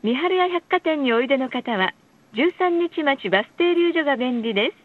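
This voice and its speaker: A woman reading scripted bus stop announcements in a polite, formal, measured way. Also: high voice, animated. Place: recorded announcement played inside a bus. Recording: muffled, lo-fi bus speaker recording.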